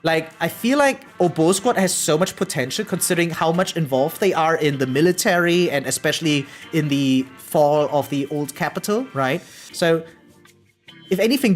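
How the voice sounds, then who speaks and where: A woman game streamer chatting casually and opinionatedly on a live stream, swearing freely and often checking in with her listeners. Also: low voice. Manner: animated, opinionated venting with rhetorical check-ins.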